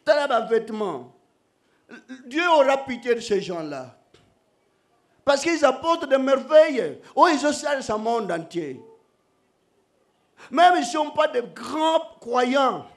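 Middle-aged male preacher, very high voice, lively, joking, shouts at times, stand mic, outdoor stage. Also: slow pace, pauses often. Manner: animated, joking, switching between shouting and soft asides. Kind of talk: sermon. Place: outdoor church stage under a canopy. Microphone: stand microphone.

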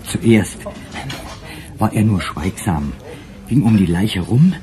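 A man's voice: fairly low pitch, lively intonation, slow, crowd noise. A man playing a nervous, defensive witness, agitated and hesitant, in a German crime radio play. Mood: uneasy, defensive. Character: nervous, defensive male witness, working in hospitality.

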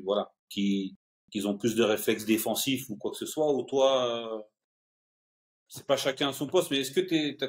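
A man, asking questions as a show host in a casual, hesitant way. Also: medium pitch. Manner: hesitant, halting phrasing. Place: small indoor room, video call.

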